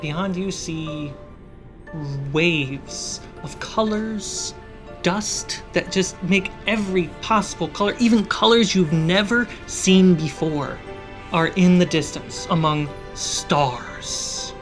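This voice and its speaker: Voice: mid-range voice, soft background music. A game master narrating a tabletop fantasy game in short, suspenseful, dramatic phrases. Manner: slow, suspenseful scene-setting with short phrases.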